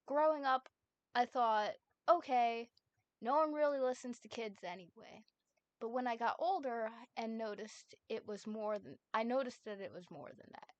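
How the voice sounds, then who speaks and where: A woman streamer voicing a frightened, desperate teenage boy who is never believed, with pleading, rushed outbursts. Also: fairly high pitch, no room sound, muffled recording, natural voice. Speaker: streamer reading a fanfic aloud. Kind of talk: dramatic fanfic reading.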